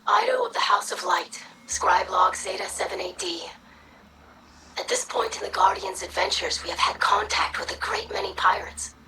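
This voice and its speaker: A woman playing an earnest, curious young alien scribe in a video game, speaking formally and thoughtfully in a breathy, crackling voice. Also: extremely high pitch, huge pitch swings, moderate pace, pushed and bright, whispered, exaggerated voice. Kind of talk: video game audio log. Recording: echoey, distant, with music behind.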